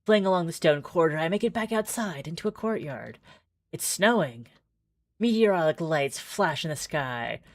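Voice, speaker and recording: A woman's voice: on the low side, a little mellow, no echo. A woman streaming a visual novel, moving between casual, rambling commentary and dramatic read-aloud narration.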